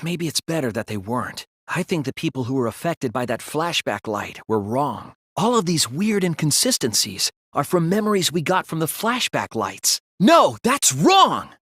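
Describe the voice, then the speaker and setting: Teenage boy detective, slightly high, husky, earnest, deliberate, dramatic video game deduction. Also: sing-song, fairly bright tone, no echo, studio quality, full character voice. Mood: forceful, shocked. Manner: deliberate, reasoning aloud, emphatic when refuting. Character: earnest, thoughtful teenage male detective, soft-spoken but resolute.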